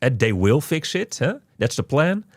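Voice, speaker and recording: A man hosting a casual game review, speaking haltingly and often repeating his words. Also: medium pitch, very animated, no echo, clean studio sound. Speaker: podcast host reviewing a video game.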